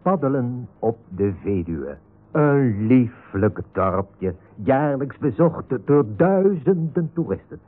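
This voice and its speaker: An actor playing a wry, chatty storyteller, narrating a comic radio serial in a husky voice with playful asides. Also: male voice, mid-range voice, very animated, slow, soft background music. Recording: tinny narrow-band radio recording.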